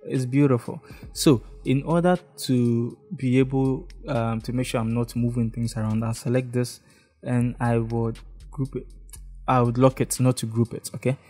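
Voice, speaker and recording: Young man, Ghanaian English accent, warm dark tone, tutorial narration, webcam mic, faint background music. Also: medium pitch, moderate pace. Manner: relaxed, step-by-step, frequent "okay?" check-ins. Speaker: design tutorial host. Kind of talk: screen-recorded software tutorial. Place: indoor room, face in webcam corner.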